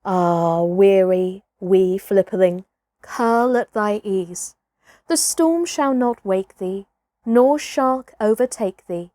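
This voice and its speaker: Woman, expressive story narration, slow deliberate pace, close dry sound. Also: medium pitch, dry recording. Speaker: storyteller, actress reading aloud. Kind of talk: expressive scripted children's audiobook narration.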